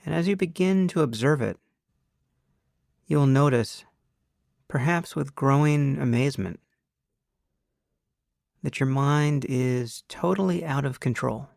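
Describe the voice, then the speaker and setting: A man leading a guided meditation as a teacher, speaking in an unhurried, measured way with long pauses. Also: mid-range voice, vocal fry, darkish tone, no room sound, studio quality.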